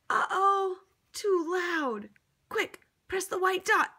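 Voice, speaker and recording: Female children's storybook reader, high voice, very slow delivery, theatrical and encouraging, talks straight to the child. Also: bright, forceful tone, a little hiss or hum. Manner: theatrical, with exaggerated gasps and exclamations; encouraging, coaxing, addressing the child listener. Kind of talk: interactive picture-book read-aloud for young children.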